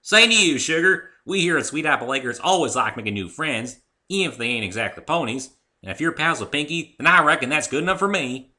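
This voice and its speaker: Guy voicing a friendly southern farm girl pony, pitched up, bright, folksy drawl, story reading. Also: animated, a little fast, hardly any pauses. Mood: hearty, welcoming. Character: friendly hearty young female farm pony. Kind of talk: dramatic fan fiction reading.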